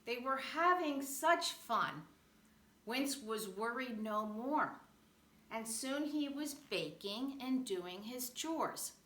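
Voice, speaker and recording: A woman reads a children's rhyming picture book with animated, rhythmic expression. Her voice is bright and on the high side, and she takes her time over each phrase. The room adds noticeable echo. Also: slow pace. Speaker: storybook reader. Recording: roomy echo, faint background noise.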